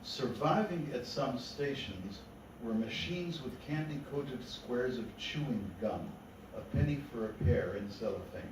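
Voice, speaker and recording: Guy reading poems out slowly, careful phrasing, super echoey room, far from the mic. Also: medium pitch, expressive, background noise. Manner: measured, deliberate phrasing. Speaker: poet reading own work. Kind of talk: poetry reading to a live gathering. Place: indoor literary meeting room.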